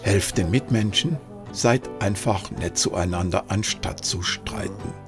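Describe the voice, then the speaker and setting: A man reading scripted documentary narration in a formal, earnest, measured manner. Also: fairly low pitch. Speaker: narrator and filmmaker. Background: background music.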